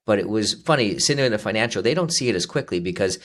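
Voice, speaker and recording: A man in his fifties, a financial advisor explaining things in a conversational talk, steady and explanatory with frequent fillers. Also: on the low side, fast talker. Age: 50s. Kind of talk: conversational interview.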